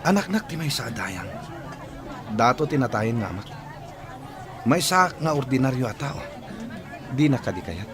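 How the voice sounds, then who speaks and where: Guy acting a proud bitter young schemer, super animated pitch, radio drama, crowd noise behind. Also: normal speed, pauses often, natural voice. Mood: insistent. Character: proud, spurned young man scheming revenge.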